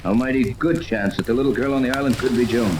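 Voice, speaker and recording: An actor playing a decisive, take-charge yacht captain in clipped, commanding 1930s radio serial style. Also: male voice, medium pitch, fast talker, lightly acted. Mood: grave, deliberate. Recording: noisy echoey 1930s radio transcription.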